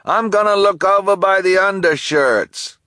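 A man's voice: sits high, sing-song, unhurried, no room sound, clean studio sound, pitched above the performer's own voice, exaggerated voice. A man voicing a blustering, sneering male delivery man in an audiobook reading. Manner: shouting, sneering.

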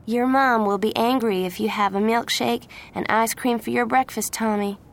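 A woman voicing a gentle, friendly young woman who is patient with children, in an audiobook story. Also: mid-range voice, moderate pace, vocal fry, pitched above the performer's own voice, lightly acted. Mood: pleading. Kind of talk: audiobook character voice.

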